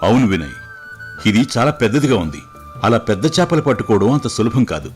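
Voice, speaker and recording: A man playing a calm, wise fatherly king who gives measured, reassuring moral advice in a storybook tale. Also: on the low side, faint music behind. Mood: approving, warm. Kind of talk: scripted moral story dialogue.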